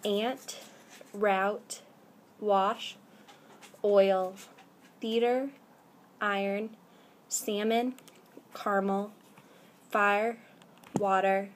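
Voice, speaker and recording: A woman's voice: medium pitch, fairly even intonation, very slow, long pauses. Chatty Midwestern woman with frequent ums. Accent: Midwestern American. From American Midwest. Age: 20s. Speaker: vlogger. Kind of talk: casual accent-tag vlog. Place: indoors by a window. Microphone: webcam at arm's length.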